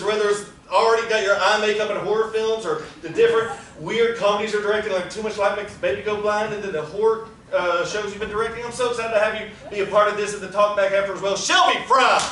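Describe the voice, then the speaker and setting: A high-pitched man with a drawl speaks to a live theater audience, loose and chatty, laughing between his notes. He sounds far from the mic, caught in a very echoey room with noise underneath. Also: fairly bright tone. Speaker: producer introducing a table reading. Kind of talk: live casual announcements to an audience.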